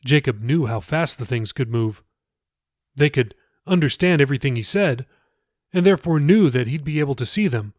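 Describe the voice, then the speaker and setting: A man's voice: medium pitch, expressive, moderate pace, no echo, studio quality. A man reading his own novel aloud as scripted audiobook narration.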